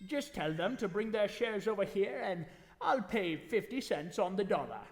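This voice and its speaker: An actor playing a scheming, smug old banker who speaks slowly and deliberately in old radio style. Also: male voice, sits high, expressive. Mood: smug, threatening. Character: scheming, menacing old male banker on telephone. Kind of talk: 1940s radio acting on stage. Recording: echoey hall, live stage taping.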